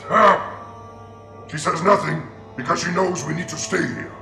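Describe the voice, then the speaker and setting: An actor playing a brutal, hulking orc war chief in a fantasy audio drama, with a gravelly growl and theatrical, blustering delivery. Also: male voice, high-pitched, fairly even intonation, normal speed, full character voice. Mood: blustering, heated. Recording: roomy echo, loud background music.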